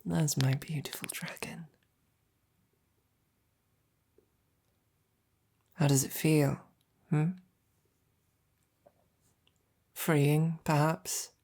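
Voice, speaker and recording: A woman voicing a devoted, gentle female knight in an intimate ASMR roleplay, soft, breathy and tender, with hesitant pauses. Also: sits low, heavily acted. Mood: tender, encouraging.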